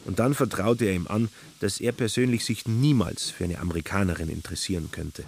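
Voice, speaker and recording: A man's voice: deep voice, a little fast, vocal fry, darkish tone, a little hiss or hum. A man narrating a literary radio play with scripted, storytelling delivery.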